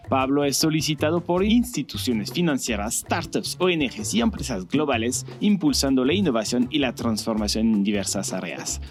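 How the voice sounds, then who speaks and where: A man with a middle-pitched voice hosts an interview podcast, opening with a read introduction and then talking casually with his guest. He often stops and restarts his sentences as he goes. Soft music plays faintly in the background. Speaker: podcast host.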